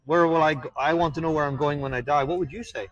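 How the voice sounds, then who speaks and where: A man's voice: fairly high pitch, fairly even intonation, fast, a bit bright, highs cut off. A man preaching to passers-by on a street, earnest and pressing them with questions. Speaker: street evangelist. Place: outdoors on a town street.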